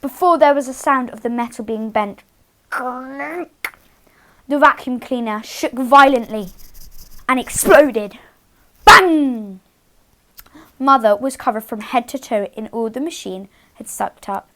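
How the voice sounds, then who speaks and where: High-voiced woman reading a children's story. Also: takes it slowly, pauses often, a bit bright, low-quality recording. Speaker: children's storytime host and reader. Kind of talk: expressive read-aloud for young children.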